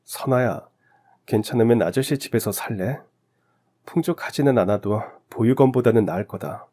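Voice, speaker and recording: A man's voice: mid-range voice, moderate pace, dark tone, lightly acted. A man playing a kind, humble man in his thirties, a family friend in a radio story drama. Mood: kind, inviting.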